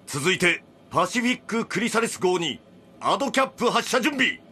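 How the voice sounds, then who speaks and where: A man playing a gloating enemy submarine commander in an audio drama, husky, commanding and theatrical. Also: sits high, big pitch swings, fairly quick, sharp tone, slight background noise, full character voice. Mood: smug, gloating. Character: smug male villain submarine commander. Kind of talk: Japanese audio drama acting.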